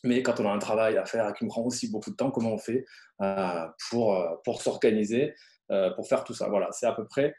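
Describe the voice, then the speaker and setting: A man co-presenting a live webinar, hesitant and halting as he explains. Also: on the low side, warm tone, reverberant. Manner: hesitant, many fillers and restarts.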